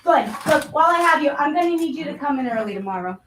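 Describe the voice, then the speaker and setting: A woman's voice: high-pitched, animated, fast talker, noisy background. A woman playing an abrasive, impatient, foul-mouthed restaurant boss in a staged reading, brash, blunt and badgering. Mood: bossy, grumbling. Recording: roomy echo.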